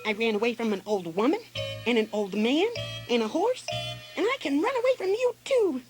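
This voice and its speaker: A woman's voice: on the high side, animated, moderate pace, close to an everyday voice. A woman voicing a cheeky, naive little gingerbread boy in a sing-song way for a children's storybook recording. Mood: cheeky, defiant. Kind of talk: children's storybook audio acting. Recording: loud music behind the voice. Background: music.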